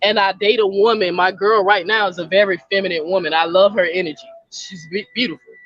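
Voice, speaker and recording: A woman's voice: mid-range voice, steady tone, a little fast, a bit bright, no echo, dull audio. A woman guest on a talk panel, speaking bluntly and colloquially to the group. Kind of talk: casual debate chat.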